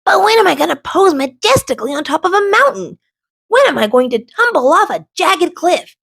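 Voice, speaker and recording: A woman voicing an eager, restless young male rock in a children's storybook, dramatic and excitable. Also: very high voice, a little fast, creaky, no echo, pitched above the performer's own voice, exaggerated voice. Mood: eager, defiant. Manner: dramatic, wide-eyed and excitable. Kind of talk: children's storybook read-aloud.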